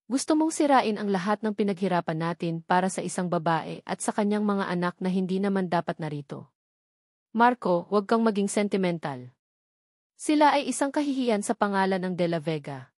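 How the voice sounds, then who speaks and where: A woman's voice: medium pitch, animated, normal speed, a little mellow, dry recording, close to an everyday voice. A woman narrator plays a domineering older father in a story, with a Filipino accent. The delivery is cold, mocking and threatening, and the sound is dry. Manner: contemptuous, threatening.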